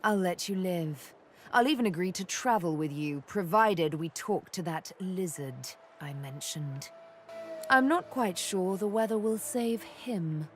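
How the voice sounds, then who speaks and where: A woman voicing a confident, menacing rogue assassin in a video game, poised, deliberate and teasing. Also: fairly low pitch, expressive, slight background noise. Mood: cool menace.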